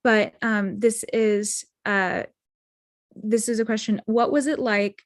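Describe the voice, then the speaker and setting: Female moderator, mid-pitched and dry, casual and encouraging, feeling out her questions on a video call. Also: fairly even intonation, takes it slowly, dry recording. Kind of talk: casual post-show Q&A.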